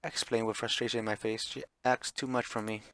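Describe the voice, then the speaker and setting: A man's voice: lowish voice, flat delivery, fast talker, a bit bright. A man streaming a visual novel, chatting casually as he plays and reading the text aloud, sometimes stumbling and correcting himself.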